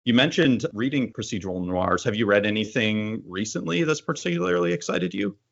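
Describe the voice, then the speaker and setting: A man interviewing an author, asking thoughtful, measured questions. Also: fairly low pitch, expressive, gravelly, muffled recording. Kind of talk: casual interview conversation.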